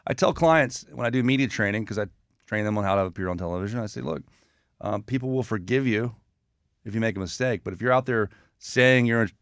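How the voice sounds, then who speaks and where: A man's voice: on the low side, brisk, a bit bright, smooth, dry recording, studio quality. A man talking casually as an interview guest, earnest and full of anecdotes. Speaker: guest, communications consultant.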